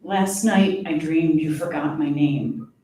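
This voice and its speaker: Female poet, live reading, unhurried measured delivery; very reverberant, distant recording with faint noise. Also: sits low, dark tone. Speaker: poet reading own work. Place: live reading event before an audience. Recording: very echoey room, distant mic, faint noise.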